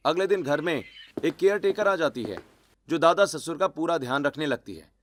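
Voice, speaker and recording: Higher-pitched man narrating a cartoon story. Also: animated, moderate pace, a bit bright, a little hiss or hum. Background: background music.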